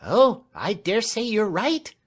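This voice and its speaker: A man voicing a warm-hearted, excitable serving woman in an audiobook, with a plain, artless, emphatic way of talking. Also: sits high, takes it slowly, pitched above the performer's own voice, heavily acted. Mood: frank, unbothered.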